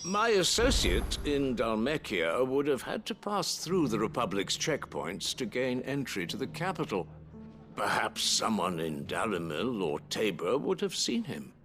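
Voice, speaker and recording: A man's voice: medium pitch, sing-song, measured pace. An actor playing a deferential spymaster advising his lord in a fantasy video game, formal and courteous in manner. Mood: grave, respectful. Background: music.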